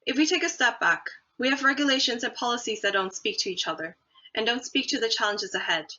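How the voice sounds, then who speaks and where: A young woman from Hong Kong, an awards finalist, giving an earnest, measured prepared speech. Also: fairly high pitch, bright, forceful tone. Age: young adult. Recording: dull lo-fi audio.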